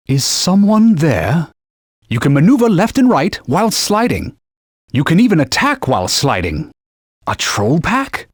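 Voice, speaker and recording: A man voicing a haughty, pompous talking sword that guides and scolds its wielder in a formal, stern manner, with a slightly husky voice. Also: no room sound, studio quality, heavily acted. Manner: pompous, formal and scolding, turns shrill when alarmed. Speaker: sidekick guide. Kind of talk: video game voice clips. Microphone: dry studio mic.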